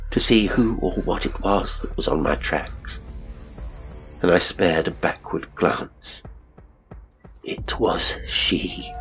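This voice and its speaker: A man narrating a classic horror story as a dramatic audiobook, grave, theatrical and suspenseful, with deliberate phrasing. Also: low voice, creaky, low-quality recording.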